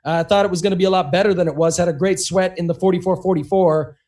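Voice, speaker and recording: Fast-talking high-voiced man, slightly hoarse. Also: fairly even intonation, darkish tone. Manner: quick, run-on talk with many "you know"s. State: slightly losing his voice. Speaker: podcast co-host. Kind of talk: casual podcast chat.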